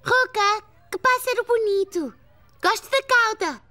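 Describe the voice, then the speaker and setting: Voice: extremely high pitch, a little slow, pushed and bright, full character voice. A performer voicing a friendly preschool girl with a childlike European Portuguese voice in a children's cartoon. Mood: admiring. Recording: faint music behind the voice.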